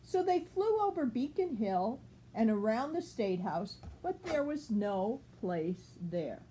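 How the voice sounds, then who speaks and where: A woman's voice: on the high side, big pitch swings, takes it slowly, warm tone, a little hiss or hum, low-quality recording. An older woman reading a picture book aloud as a grandmother, explaining things simply for a child with casual asides.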